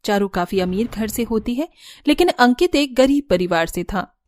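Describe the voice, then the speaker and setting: A woman's voice: fairly high pitch, expressive, moderate pace, a little mellow, dry recording, studio quality. A woman narrating a scripted cartoon story.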